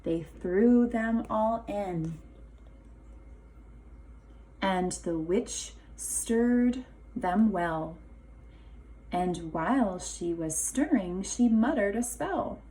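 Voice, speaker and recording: A woman's voice: mid-range voice, animated, extremely slow, pauses often, warm tone, poor audio. A woman reading a storybook aloud to young children as a teacher, drawing out key words for emphasis.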